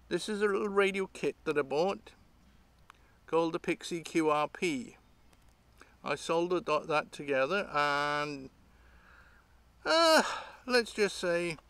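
A middle-aged British man presents his hobby projects in a casual, off-the-cuff style. His voice sits a little higher than most men's, and he rambles hesitantly as he explains. He is recorded outdoors, with a dry sound free of echo. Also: fairly bright tone. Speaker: host of an electronics hobby channel. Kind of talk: casual unscripted show-and-tell. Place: outdoors by leafy trees.

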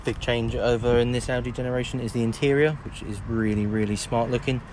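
A man's voice: medium pitch, brisk. A man with an English accent, casually narrating a walkaround of a car on a dealer forecourt while filming on his phone. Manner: plain, relaxed commentary with repeated fillers. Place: outdoors on a used car forecourt, then inside the car. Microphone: phone camera held by the speaker. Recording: phone video with clear background noise.

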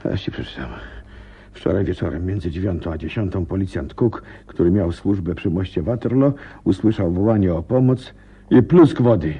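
An actor playing an earnest, curious male companion to a detective in a radio drama, measured when reading aloud. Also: low voice, slightly rough, exaggerated voice. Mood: grave, shocked. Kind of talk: Polish radio drama acting. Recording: dull, muffled old radio recording.

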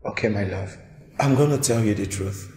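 A man's voice: on the low side, normal speed, mellow, lightly acted. An actor playing a remorseful man who pleads with his partner for forgiveness. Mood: pleading. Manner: pleading, apologetic. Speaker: actor in a relationship skit. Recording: echoey room with faint music.

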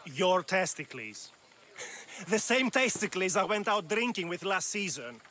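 A fussy official in a video game, incredulous and sarcastic. His voice is high and sharp, over faint outdoor noise. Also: very animated, unhurried, heavily acted. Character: fussy, incredulous male games official in ancient Greece. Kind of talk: video game cutscene acting.

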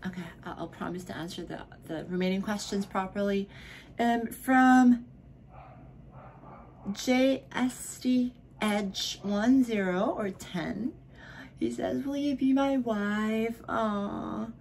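A woman's voice: mid-range voice, takes it slowly, creaky, darkish tone, a little hiss or hum. A young woman, drunk, answering viewer questions in a casual home vlog, slurring, giggling and slipping into whispers. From Philippines. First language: Filipino (Tagalog). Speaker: vlogger. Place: bedroom at home, indoors.

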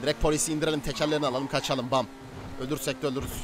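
Guy streaming roleplay, talks fast n slangy, loud car noise behind him. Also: fairly high pitch, little pitch movement. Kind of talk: casual in-game chat while streaming. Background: game car engines and street sounds.

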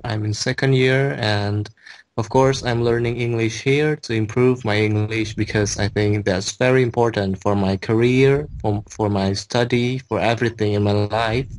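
A man's voice: mid-range voice, rough recording. A young man from Indonesia, a medical student, introduces himself eagerly on a video call, repeating himself as he goes.

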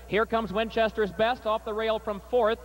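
A man announcing and calling a harness race at a state fair, his voice climbing and getting loud down the stretch, then interviewing a trainer. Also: high voice, little pitch movement, slight background noise. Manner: rapid, rising to shouts at the finish. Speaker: track announcer. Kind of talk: harness race call and winner's circle interview.

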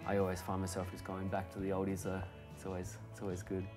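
A young adult man, chatting casually as a musician guest in a bar booth, relaxed and rambling with fillers. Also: sits low, fast talker, over music. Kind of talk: casual interview chat.

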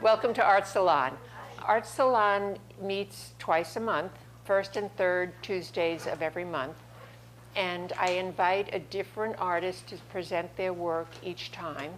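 A woman with a somewhat low voice hosts an evening art salon, welcoming everyone and introducing the guest in an easy conversational tone. A faint hum lies under the room sound. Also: unhurried, low-quality recording.

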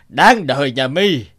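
Stern, animated old sorcerer. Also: male voice, medium pitch, no room sound, clean studio sound, heavily acted. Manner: slow, theatrical, pronouncing. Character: stern old sorcerer, sly, menacing and condemning. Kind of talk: cartoon fairy-tale dubbing.

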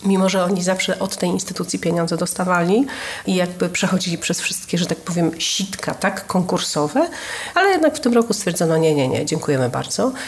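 Low gravelly-voiced woman podcast host. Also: animated, brisk, a little hiss or hum. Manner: earnest, with many hesitations. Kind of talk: casual conversation about culture.

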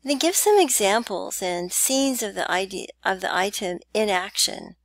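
A woman's voice: medium pitch, animated, normal speed, dry recording. A woman narrating a slide lecture on essay writing as an instructor, chatty with light laughs and fillers.

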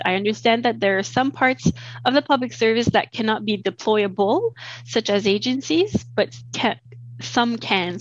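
A woman in her thirties co-hosting a bilingual webinar over a video call, upbeat and quick to laugh, moving between English and French. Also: a little high. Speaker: webinar co-host. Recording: dull, dry video call audio.

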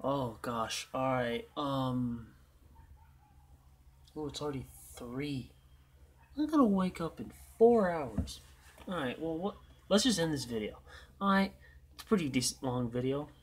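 A young man with a hoarse voice he is losing, rambling in a tired, casual way as he talks over a racing game. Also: medium pitch, lively intonation, a little slow, pauses often. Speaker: gaming channel host.

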